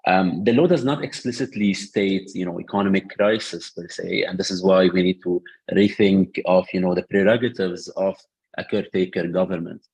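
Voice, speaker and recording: A man's voice: sits low, normal speed, creaky, a little mellow, breathy edge, poor audio. A man answering a question on a panel discussion, hesitant, with many fillers and restarts. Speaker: panel speaker on Lebanon.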